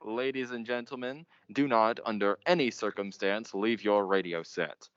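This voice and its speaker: An actor playing a pompous, melodramatic 1940s radio mystery host, theatrical and portentous in manner. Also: male voice, on the low side, normal speed, smooth, no room sound, lightly acted. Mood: grandiose. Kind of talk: old-time radio mystery narration. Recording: muffled, noisy amateur stage recording.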